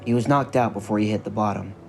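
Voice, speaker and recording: A man's voice: lowish voice, steady tone, fast talker. A man narrating a scripted story for an audio drama. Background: music.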